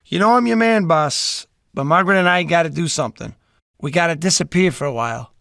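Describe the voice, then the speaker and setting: A man playing a loyal, soft-hearted gangster henchman with a creaky voice in a noir comedy audio drama. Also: a little high, fairly quick, no echo, studio quality. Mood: earnest.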